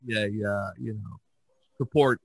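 A man hosting a casual podcast chat, speaking haltingly and often repeating words. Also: lowish voice, fairly even intonation, no echo. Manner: halting, with repeated words and frequent "you know". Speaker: podcast host. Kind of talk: casual discussion of art practice.

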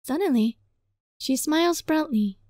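A woman reading a visual novel aloud as a let's play, dramatic and playful, in a giggly, flustered, excitable manner. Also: high-pitched, measured pace, no echo. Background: music.

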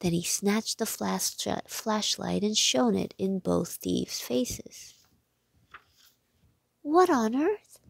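A woman narrating a children's storybook aloud in a measured, clearly phrased way. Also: medium pitch, no echo.

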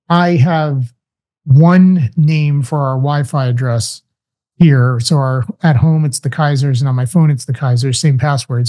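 Mellow-voiced man explaining his product. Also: medium pitch. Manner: brisk, explanatory, walks through steps. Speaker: guest, tech company founder. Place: small home room, indoors. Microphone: large studio mic on arm with headphones, video call.

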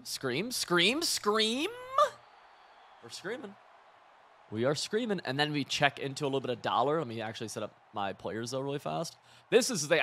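Hoarse man rambling over gameplay. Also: medium pitch. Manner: rambling, excitable, swings between coaching and side stories. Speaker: gaming video creator. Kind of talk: live gameplay commentary.

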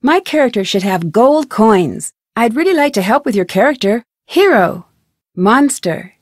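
A young American amateur sleuth for a mystery video game. Her voice sits a little high, earnest and polite, with an inquisitive, determined way of questioning. The recording is close and dry, like studio game dialogue. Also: big pitch swings, unhurried, no echo. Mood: friendly, polite. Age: young adult. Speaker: video game detective protagonist. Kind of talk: scripted adventure game voice acting.

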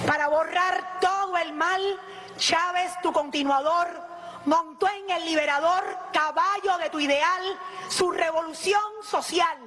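A woman reciting a poem at a podium in measured, declamatory phrases. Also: very high voice, fairly bright tone, slight background noise. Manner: slow, declamatory, pauses between phrases. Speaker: poet reciting at an event. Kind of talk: poetry recitation. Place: auditorium. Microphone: podium microphone.

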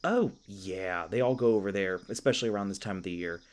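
A man's voice: low voice, fast, close to an everyday voice. A man playing a flustered, skittish young elf park ranger in an audio roleplay, stammering and awkward with goofy folksy exclamations. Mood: nervous, chatty.

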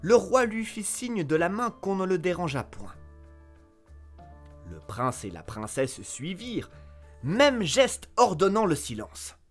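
A man reading a fairy tale aloud as a storyteller, with expressive narration that lifts sharply on key words. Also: high voice, slow pace, faint music behind.

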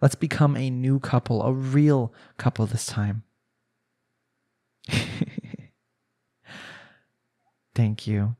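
A man's voice: mid-range voice, measured pace, warm tone, lightly acted. Man acting a lovestruck, earnest young husband. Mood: relieved, tender. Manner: earnest, pleading, sighing delivery. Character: jealous, lovestruck young husband pleading with his spouse. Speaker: audio roleplay voice actor. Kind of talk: intimate romantic audio roleplay, one-sided dialogue.

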